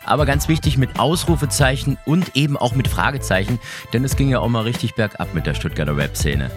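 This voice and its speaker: Relaxed man co-hosting a podcast. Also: mid-range voice, brisk, over music. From Germany. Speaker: podcast co-host, radio presenter. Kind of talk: casual podcast chat.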